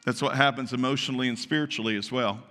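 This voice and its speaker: A man preaching a sermon to his congregation, naturally loud and emphatic, often asking them questions. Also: mid-range voice, fairly even intonation, quick pace. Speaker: pastor. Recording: long reverb of a large hall.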